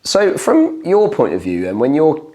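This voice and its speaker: A man hosting a casual chat, his voice croaky, often repeating himself and restarting. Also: on the high side, huge pitch swings, moderate pace, dark tone. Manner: rambling, repeats words and restarts. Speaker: host.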